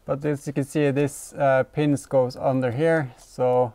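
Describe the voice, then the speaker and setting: A man in his thirties giving casual, halting hands-on commentary as a vlog host, thinking aloud while he works. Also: mid-range voice, flat delivery, warm tone, no room sound. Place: inside a car in a workshop.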